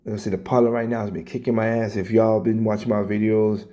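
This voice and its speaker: A man with a scratchy, hoarse voice, ranting casually in reaction to clips, blunt and swearing, stumbling and repeating his words. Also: fairly low pitch, little pitch movement, quick pace, mellow. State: hoarse, coughing. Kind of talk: casual rant.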